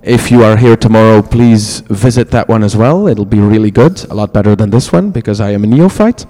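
Halting Dutch-accented moderator in a hall. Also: male voice, on the low side, mellow. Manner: halting, self-deprecating, many hesitations. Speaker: panel moderator, host. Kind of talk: convention panel moderation. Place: convention panel room. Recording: echoey hall.

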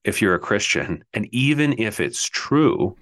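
A man co-hosting a podcast, explaining things in a thoughtful, steady way during a casual theological discussion. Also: on the low side, measured pace, dry recording, clean studio sound. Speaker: podcast co-host.

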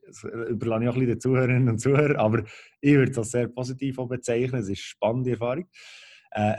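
A Swiss German man hosting a casual call, speaking in a thoughtful, measured way with fillers. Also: medium pitch, mellow. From Switzerland. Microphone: video call.

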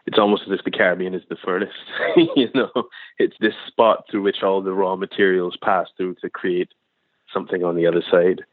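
A man from Barbados, a filmmaker, giving thoughtful, measured interview answers over a phone line. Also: deep voice, no room sound. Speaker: filmmaker guest.

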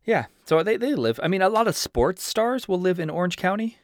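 A man's voice: high-pitched, animated, a little fast, dry recording. A man from Southern California, a guest on a movie podcast, talking bluntly with strong opinions and casual swearing. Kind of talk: casual chat.

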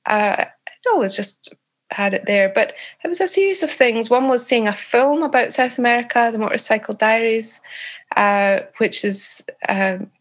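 A Scottish woman answering interview questions thoughtfully, pausing often with fillers and restarting phrases. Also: fairly high pitch, lively intonation. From Scotland. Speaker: author guest.

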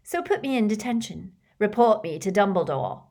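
A sulky, defiant teenage boy wizard from a storybook, voiced by a woman reader. He sneers and snaps back, his voice pushed up and louder than the narration. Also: medium pitch, animated, a little slow, pitched above the performer's own voice. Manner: sneering, resentful.

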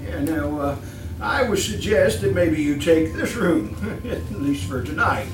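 A man's voice: fairly high pitch, animated, a little slow, far from the mic. A man acting in a live old-time radio horror play as a falsely genial, fussy employer who chuckles, stammers and repeats himself with hidden menace. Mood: sinister, oily. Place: live stage performance. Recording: roomy stage from afar, music behind.

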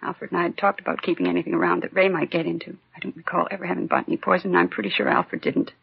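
A woman's voice: fairly low pitch, little pitch movement, racing, creaky, husky, dry recording, heavily acted. An actress playing a defensive, nagging housewife and mother in a 1950s radio crime drama, testy and insistent. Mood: guarded, firm. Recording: dull lo-fi 1950s radio recording.

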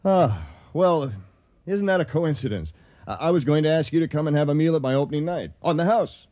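A man's voice: fairly high pitch, animated, a little fast, dark tone, dry recording, dull audio, close to an everyday voice. An actor playing a flustered, boastful, jealous man in a radio comedy, stammering and blustering. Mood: flustered coaxing.

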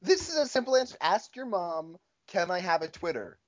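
A man in his thirties, an improviser guesting on a podcast, chatting in a matter-of-fact, deadpan way. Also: high voice, moderate pace. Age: 30s. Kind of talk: casual comedic chat. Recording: dull lo-fi podcast audio.

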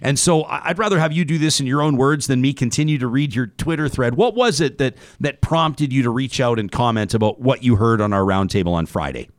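A man hosting a conversational interview, feeling his way through his questions in a halting manner. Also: medium pitch, dry recording, clean studio sound. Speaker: talk show host.

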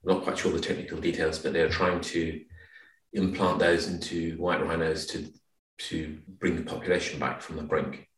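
A middle-aged man from Zimbabwe giving measured, slightly hesitant explanations as a guest in a conversational interview. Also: sits low, little pitch movement. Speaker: podcast guest. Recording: roomy, distant microphone.

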